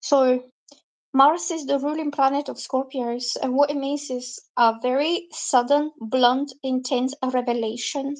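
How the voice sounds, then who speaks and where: High-voiced woman reading tarot. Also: steady tone, unhurried, rough recording. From Bulgaria. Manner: chatty, loose and rambling. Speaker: tarot reader. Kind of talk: live-stream tarot reading.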